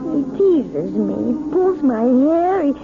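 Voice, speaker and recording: Fragile little girl under hypnosis, radio play, very high swooping voice, childlike repetitions, muffled vintage sound. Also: very animated, full character voice. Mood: resentful, sulky. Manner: childlike, halting, repeating words. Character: hypnotized woman regressed to frightened, tearful little girl. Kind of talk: 1970s radio drama acting. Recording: muffled old radio broadcast with music.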